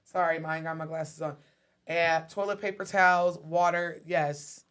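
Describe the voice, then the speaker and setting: Laid-back deep-voiced woman. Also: fairly even intonation, moderate pace, a bit bright, clear, resonant tone. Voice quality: fairly deep voice. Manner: laid-back, laughing, talks straight to viewers. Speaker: co-host. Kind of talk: casual call-in show chat.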